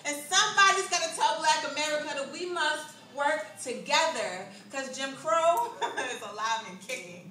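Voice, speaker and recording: A woman performing a spoken word poem live on a club stage, rhythmic and building from hushed lines to loud declamation. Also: sits high, moderate pace. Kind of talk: slam poetry performance. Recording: echoey club recorded from far off.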